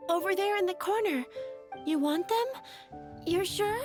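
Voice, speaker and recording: A sweet young flower seller from a video game. Her voice is extremely high, with a hesitant, eager-to-please, easily flustered manner, and music plays clearly behind her. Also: measured pace. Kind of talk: animated video game voice acting.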